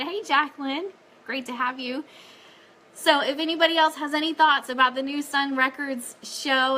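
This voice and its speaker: A woman's voice: mid-range voice, pushed and bright, slight background noise, poor audio. A woman hosting a casual fan live stream, chatting and making announcements in an upbeat, enthusiastic way.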